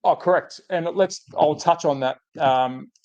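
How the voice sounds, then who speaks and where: An Australian man with a slightly croaky, under-the-weather voice, hosting a casual livestream and thinking aloud as he chats to viewers. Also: a little high, measured pace, a little mellow, lo-fi sound. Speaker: host of a hobby livestream. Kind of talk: casual live chat to viewers.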